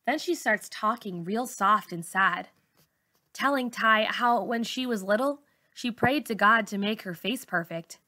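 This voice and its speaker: A woman's voice: medium pitch, unhurried, sharp tone. A woman teacher reading a first-person children's novel aloud for students, as narration.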